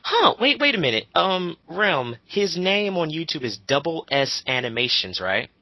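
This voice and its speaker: A man's voice: a little high, big pitch swings, moderate pace, pushed and bright, no echo. A man chatting casually as a co-host, blunt, argumentative and foul-mouthed as he comments on online arguments.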